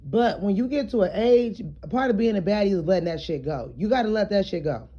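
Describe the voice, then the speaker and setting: A woman with a raspy, slightly hoarse voice, chatting casually to her followers in a home vlog while she does her hair, loose and streetwise with plenty of fillers. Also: medium pitch, expressive, brisk, warm tone, highs cut off. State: hoarse, throat congested. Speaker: vlogger.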